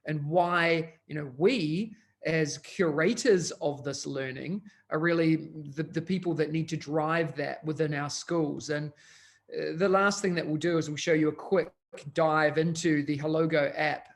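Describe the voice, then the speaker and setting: A man's voice: a little high, fairly even intonation, unhurried. A man, an education technology consultant, hosting a conference session and talking with many uh and um fillers. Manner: fluent but full of uh and um fillers. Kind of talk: conference presentation.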